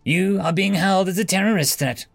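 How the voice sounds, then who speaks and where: A man's voice: high voice, normal speed, gravelly, dry recording, faint music behind, pitched above the performer's own voice. A man voicing a stern, businesslike interrogator in an audio story, speaking formally and without yielding. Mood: stern, grave. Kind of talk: acted story dialogue.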